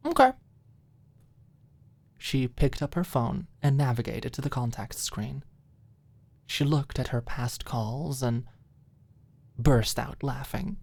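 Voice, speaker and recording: A woman's voice: extremely low pitch, darkish tone, dry recording. A woman narrating a scripted ASMR audiobook story, close to the microphone.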